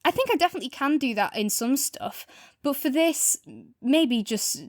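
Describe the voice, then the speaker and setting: A woman's voice: high-pitched, expressive, normal speed, no room sound, studio quality. A woman co-hosting a podcast in a casual, informal chat, explaining at length with plenty of asides. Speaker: podcast co-host. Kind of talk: casual chat, audio drama review.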